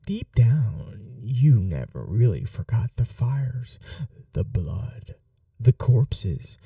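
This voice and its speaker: A cruel yet doting vampire mistress, acted by a woman for an ASMR roleplay. The voice is soft, breathy and often whispered, with slow delivery and swooping, expressive pitch. It has a very dark, velvety tone and coaxes with possessive tenderness. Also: dry recording, studio quality, recorded from a distance, full character voice. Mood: wounded, bitter. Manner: slow, coaxing, tender with pet names. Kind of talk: intimate ASMR roleplay acting.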